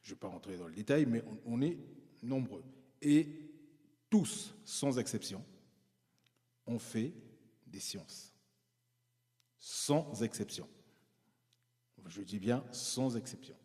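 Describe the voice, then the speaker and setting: A man's voice: mid-range voice, animated, slow pace, long pauses, warm tone, big-room sound. A man guest recounting his schooling in conversation, hesitant, with many fillers and restarts. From France, parents from Congo-Brazzaville. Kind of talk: personal storytelling in conversation.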